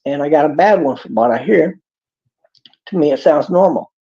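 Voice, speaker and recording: Gravelly Texan man rambling. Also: a little high, expressive, moderate pace, dark tone. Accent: Texas. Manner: rambling, preachy, folksy drawl. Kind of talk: rambling monologue. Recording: dull lo-fi clip.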